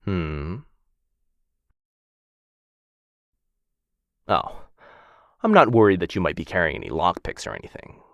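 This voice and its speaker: A man's voice: low-pitched, sing-song, brisk, creaky, no room sound, exaggerated voice. A man playing a possessive, courteous dragon captor who dotes on a human pet in a boyfriend ASMR fantasy roleplay, with a coaxing, condescendingly affectionate manner. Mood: confident, affectionate. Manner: mock-polite, coaxing, theatrical, condescendingly affectionate.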